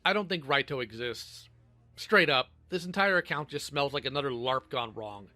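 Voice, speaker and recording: A man's voice: mid-range voice, normal speed, bright, forceful tone, no echo. A man giving scripted online commentary in a blunt, admonishing way. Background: music.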